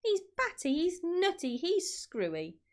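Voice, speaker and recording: A woman's voice: very high voice, huge pitch swings, unhurried, voice pushed up, full character voice. A woman voicing a fussy, mocking bird in a children's storybook, exclaiming and teasing. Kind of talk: children's storybook read-aloud.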